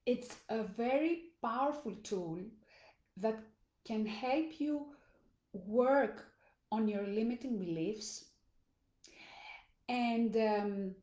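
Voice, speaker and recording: Slow, mellow-voiced Greek woman coaching. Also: fairly high pitch, reverberant. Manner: earnest and deliberate, with small restarts. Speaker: life coach. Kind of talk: motivational talk to camera. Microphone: phone or camera in a live stream.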